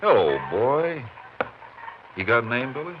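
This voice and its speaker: An American man playing a steady, friendly Texas Ranger in a 1950s western radio drama, speaking in folksy, clipped phrases. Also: medium pitch, measured pace. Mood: gentle, reassuring. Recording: muffled, noisy 1950s radio recording.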